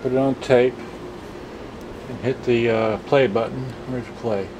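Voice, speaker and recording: A man's voice: medium pitch, fairly even intonation, unhurried, music in the background, poor audio. A man muttering in short fragments through a casual, off-the-cuff demonstration, recorded on a camcorder's own microphone. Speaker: vlogger showing vintage electronics. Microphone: handheld camcorder's built-in microphone.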